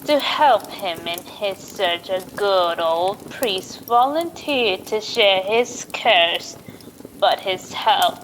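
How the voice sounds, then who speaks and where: A woman's voice: mid-range voice, expressive, slow pace, slight background noise, rough recording. A woman playing a sly, hundred-year-old witch who tells spooky tales in folksy rural dialect, in old-time radio style. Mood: sly, gleeful. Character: cackling ancient witch, rustic storyteller, aged over a hundred. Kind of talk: old-time radio horror host acting.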